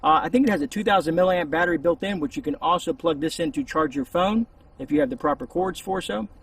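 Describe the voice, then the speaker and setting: A man's voice: sits high, animated, fast talker, creaky, a bit bright, breathy edge. A man hosting a casual, unscripted gear review, talking to camera in a rambling, conversational way outdoors. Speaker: host of a gear review channel. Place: outdoors in a shaded spot. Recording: distant mic with faint outdoor noise.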